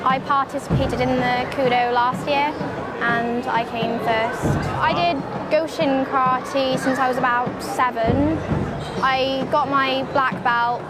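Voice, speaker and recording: A woman's voice: high voice, fairly even intonation, slow pace. A young woman, a competitor, giving plain, simple answers on a lapel mic in an event interview. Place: large sports hall, indoors. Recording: loud music and crowd.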